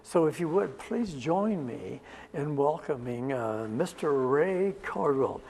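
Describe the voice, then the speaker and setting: A man hosting a local TV arts interview, speaking in a halting way with a formal, welcoming style. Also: on the high side, animated, creaky, a little mellow, big-room sound, slight background noise. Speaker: TV arts show host.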